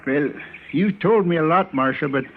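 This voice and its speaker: An actor playing a simple, proud, stubborn homesteader in a radio western, plain-spoken and halting, American accent. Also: male voice, on the high side, slight background noise, lightly acted. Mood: puzzled, resigned. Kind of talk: old-time radio western acting. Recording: dull old radio recording.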